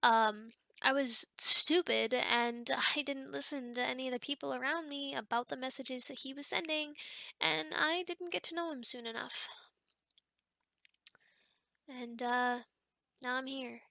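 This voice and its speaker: A woman's voice: high-pitched, steady tone, unhurried, gravelly, bright, forceful tone, no room sound, exaggerated voice. A woman voicing a chatty, teasing female sleep paralysis demon in an ASMR roleplay, talking in a casual, rambling, hesitant way. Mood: casual, explaining. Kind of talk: ASMR roleplay, one-sided conversation.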